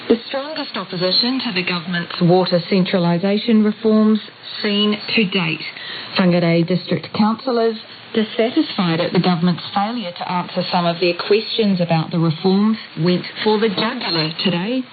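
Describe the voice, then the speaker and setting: A woman's voice: medium pitch, normal speed. A woman with a New Zealand accent reading a scripted radio news report as a reporter. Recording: muffled shortwave radio, clear hiss. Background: static hiss.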